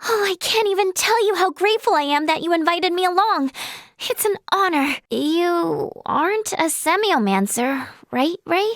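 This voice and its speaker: A woman's voice: very high voice, lively intonation, moderate pace, a bit bright, no room sound, clean studio sound, full character voice. A woman playing a sweet, timid, earnest teenage girl healer in a video game, with a light, girlish voice and a polite, hesitant way of talking. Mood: curious, polite. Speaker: voice actress. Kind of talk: video game character voice lines.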